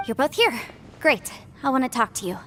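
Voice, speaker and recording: A woman's voice: sits high, very animated, fairly quick, fairly bright tone, slight background noise. A woman playing a caring, clever young adventurer in a video game, with an earnest, articulate and emphatic delivery. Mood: earnest, resolute.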